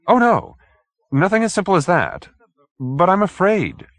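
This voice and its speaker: Man putting on a high, nervous little gentleman. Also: huge pitch swings, a little slow, no room sound, full character voice. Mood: anxious, unhappy. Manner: hesitant, fussy, over-polite. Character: timid, nervous, formal little gentleman client.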